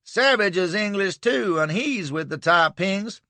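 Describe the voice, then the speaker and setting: Brief: man playing a bawling Southern US hothead, roars everything, loud and high-strung, animated swoops, dry close sound. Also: unhurried, no echo, full character voice. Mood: bellowing. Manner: bawling, excitable.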